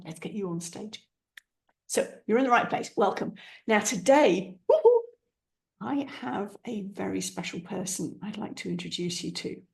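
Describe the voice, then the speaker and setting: A woman's voice: mid-range voice, gravelly, darkish tone. A woman hosting a podcast, chatty and encouraging, with playful asides to listeners. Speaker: podcast host. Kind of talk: upbeat podcast intro.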